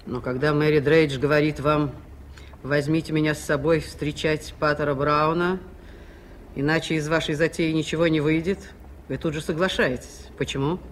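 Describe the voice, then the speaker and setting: Snooty ironic occult type played by a man, highish voice, old soviet radio play, little background hiss. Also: level tone, moderate pace, natural voice. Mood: scornful. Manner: scornful, ironic. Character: proud, sardonic believer in the occult. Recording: noisy 1980s radio play recording.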